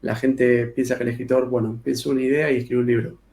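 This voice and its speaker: Gravelly-voiced Argentine man, casual. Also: mid-range voice, little pitch movement, quick pace, a little mellow. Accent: Rioplatense Spanish. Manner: colloquial, many filler sounds and restarts. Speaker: author guest. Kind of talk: casual interview talk.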